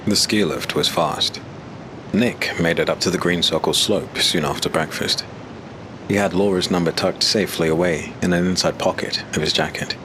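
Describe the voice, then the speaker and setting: A man narrating a dark fantasy audiobook in a steady, measured way. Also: low-pitched, background noise.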